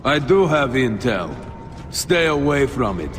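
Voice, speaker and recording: A man playing a grim, intense veteran soldier in a video game, gravelly and terse. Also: mid-range voice, sing-song, a little slow, over music.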